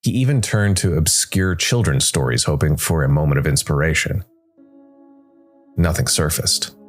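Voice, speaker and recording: A man narrating a scripted dark fantasy story in a measured way, with dramatic pauses. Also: very deep voice. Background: background music.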